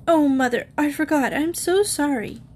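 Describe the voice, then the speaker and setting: A woman voicing Sharon, a clumsy, heedless little girl who keeps apologizing in a high, eager voice. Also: a little slow, darkish tone. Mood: apologetic.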